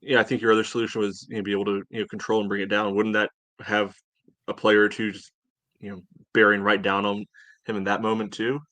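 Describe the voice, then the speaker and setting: Lower-pitched man in casual sports talk, dry sound. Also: little pitch movement, sharp tone, no echo. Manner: measured, hedging, frequent "you know". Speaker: sports podcast co-host. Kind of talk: casual soccer match discussion.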